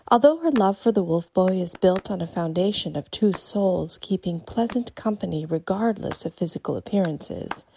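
A woman's voice: mid-range voice, warm tone. A woman reading a literary short story as scripted narration. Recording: faint background noise.

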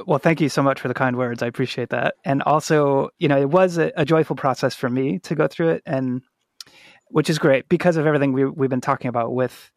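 A man's voice: mid-range voice, steady tone, a little fast, vocal fry, no room sound, clean studio sound. A man hosting a podcast in a reflective, casual conversational style. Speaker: podcast host.

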